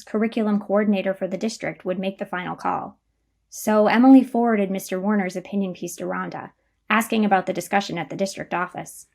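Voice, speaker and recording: Creaky-voiced woman narrator. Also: medium pitch. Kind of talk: scripted narration.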